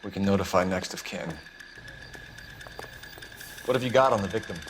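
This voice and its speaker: An earnest, low-voiced police detective. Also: male voice, moderate pace, noisy background. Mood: businesslike. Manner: clipped, authoritative questioning. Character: earnest, driven male police detective, clipped and businesslike. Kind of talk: scripted crime drama acting. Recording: music behind the voice.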